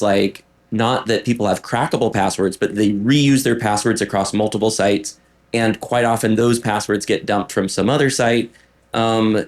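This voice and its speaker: A man in a conference audience asking a question, polite and measured, hedging with "um" as he frames it. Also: medium pitch. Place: conference talk room.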